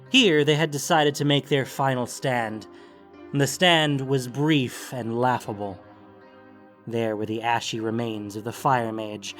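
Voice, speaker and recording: A man giving a solemn, theatrical narration of a dramatic fantasy story. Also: mid-range voice. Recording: music under the voice. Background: music.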